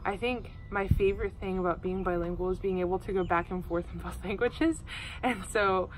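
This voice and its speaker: A woman's voice: medium pitch, steady tone, a little fast, a little hiss or hum, low-quality recording. A nineteen-year-old woman from Oklahoma in an interview, talking thoughtfully with frequent ums and uhs. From Oklahoma, USA. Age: teens. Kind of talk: casual recorded interview. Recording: music playing underneath.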